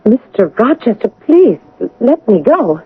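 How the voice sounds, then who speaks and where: An actress playing a prim, earnest young governess in an old-time radio drama, formal, restrained and hesitant, with guarded pride. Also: female voice, a little high, sing-song, unhurried, breathy edge, full character voice. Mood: pleading, wounded. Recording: dull, band-limited old radio broadcast.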